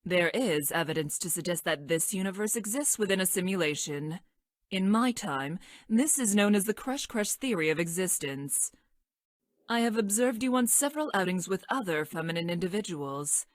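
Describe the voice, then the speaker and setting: A woman acts out a female scientist from the future for a video game. Her voice sits on the low side and is recorded dry and close. She speaks formally and technically, with a detached, deadpan manner. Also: moderate pace, no room sound, natural voice. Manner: stilted, formal, technical speech with deadpan delivery. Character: stranded female time-traveling scientist from the future, clinical, detached. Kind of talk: scripted video game character lines.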